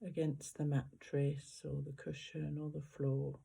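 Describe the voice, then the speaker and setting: Meditation guide: very dark, relaxed timbre, slow pace, gentle instruction with roomy pauses between phrases. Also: medium pitch, creaky, husky.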